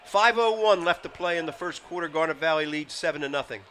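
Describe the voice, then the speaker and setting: A man's voice: a little high, fast talker, a bit bright. A man doing live football play-by-play as the game announcer, in clipped phrases that build on big plays. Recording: noisy outdoor game with crowd behind. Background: crowd and game noise.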